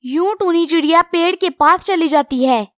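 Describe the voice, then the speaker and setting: A woman's voice: very high-pitched, moderate pace, a bit bright, dry recording, clean studio sound. A woman narrating a scripted children's cartoon story.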